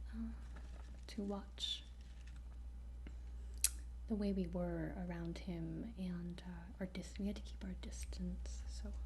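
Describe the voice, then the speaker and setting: A woman speaking in a soft, breathy whisper, gently and hesitantly explaining things in an improvised ASMR roleplay, with frequent pauses. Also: fairly low pitch, a little hiss or hum, poor audio. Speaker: ASMR performer playing a dragon training guide.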